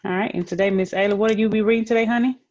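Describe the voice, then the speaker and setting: A woman's voice: mid-range voice, steady tone, racing, darkish tone, dry recording. Woman hosting, in slightly muffled dry audio. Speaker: host of a girls' group virtual story time. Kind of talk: hosting an online youth program.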